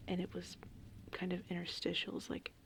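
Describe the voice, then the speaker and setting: A woman whispering in a casual, meandering, unhurried ASMR ramble, with a breathy, creaky voice. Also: on the low side. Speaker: ASMR host. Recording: steady rain and thunderstorm ambience. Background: gentle rain and thunder.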